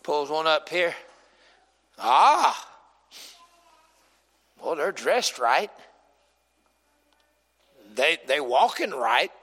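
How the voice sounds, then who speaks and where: A preacher in his forties who jokes with and teases his congregation, then rises into shouts. His voice is bright and slightly high. It carries with a long reverb through a church hall. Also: big pitch swings, drawn-out words, long pauses. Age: 40s. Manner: humorous, teasing the congregation, builds to shouting. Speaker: pastor. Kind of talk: sermon. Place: church sanctuary.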